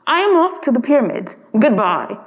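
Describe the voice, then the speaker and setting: Woman acting small male swallow, storybook bird, self-important, fussy, exclamatory, high voice with big swoops, long hall reverb. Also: very animated, normal speed. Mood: indignant.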